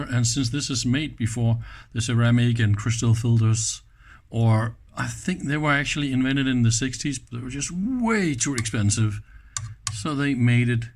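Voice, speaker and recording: A man presents an electronics teardown at a slow, unhurried pace. He talks casually and without a script, thinking out loud as he works and dropping in short exclamations. His voice sits at an average male pitch. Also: a little hiss or hum. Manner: musing aloud with short exclamations. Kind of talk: casual unscripted hands-on commentary.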